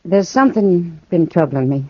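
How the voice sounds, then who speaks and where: A woman's voice: on the low side, lively intonation, moderate pace, breathy edge, no echo. An actress playing a frank, caring American saloon woman in a 1950s western radio drama. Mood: concerned. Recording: muffled, dry vintage radio recording.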